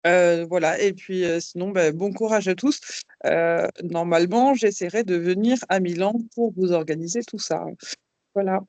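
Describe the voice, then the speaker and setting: A woman's voice: fairly low pitch, moderate pace, a little mellow, no room sound. A woman who is vice-president of an association, giving a casual, hesitant update to members on a video call, with frequent fillers.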